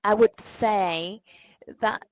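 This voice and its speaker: A woman legal expert explaining the law on a webinar, careful and halting, correcting herself. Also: medium pitch, dry recording, poor audio.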